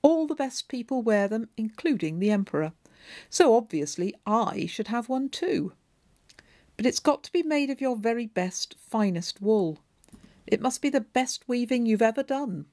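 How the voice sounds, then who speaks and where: A woman's voice: a little high, lively intonation, normal speed, a little mellow, dry recording, studio quality, pitched above the performer's own voice. A woman voicing a bored, vain king who gives orders imperiously. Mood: demanding. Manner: imperious, dismissive.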